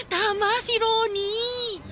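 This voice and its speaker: A woman's voice: very high voice, steady tone, very slow, background noise, exaggerated voice. An actress playing a cheeky, giggly young woman with a Filipino accent in a radio drama, teasing and exclaiming playfully. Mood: gleeful teasing. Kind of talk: Tagalog radio drama acting.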